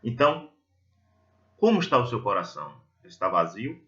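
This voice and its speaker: A man's voice: mid-range voice, big pitch swings, brisk, a bit bright, highs cut off. A Brazilian man giving a religious talk in a conversational, didactic way, telling stories and putting reflective questions to his listeners. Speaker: lay preacher at a Spiritist centre.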